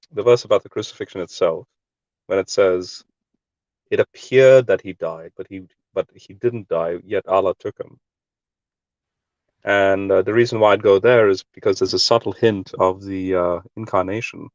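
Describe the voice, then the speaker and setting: A man with a lowish voice talks through his reasoning earnestly, stopping and restarting often. The audio is dry and a bit dull, like a lo-fi stream. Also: no echo. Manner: earnest, explanatory, with many fillers and restarts. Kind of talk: casual discussion.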